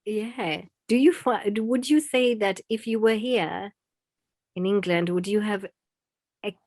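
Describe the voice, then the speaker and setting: A woman's voice: medium pitch, slow pace, a little mellow, no echo, studio quality. A woman hosting a casual conversation, asking questions and rephrasing them as she goes. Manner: interviewing, restarts and rephrases questions. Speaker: host. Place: in England.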